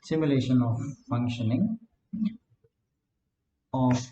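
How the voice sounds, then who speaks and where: A man lecturing a class who often checks in with "right?", repeats words and dictates notes slowly. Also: medium pitch, dark tone, a little hiss or hum. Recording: echoey classroom, dull lo-fi sound.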